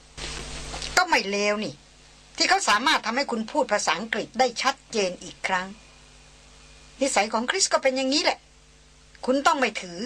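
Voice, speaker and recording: A woman reading the part of a lively, teasing young woman on a jungle trek, cheerful and playful. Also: sits high, moderate pace, pauses often, a little hiss or hum, pitched above the performer's own voice, full character voice.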